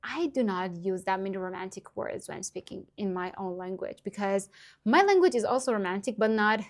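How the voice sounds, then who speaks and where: A woman's voice: medium pitch, expressive, moderate pace. A woman in her twenties from Iran, whose first language is Persian, giving earnest, upbeat language-learning advice to camera with frequent small laughs. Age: 20s. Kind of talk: direct-to-camera tutorial vlog.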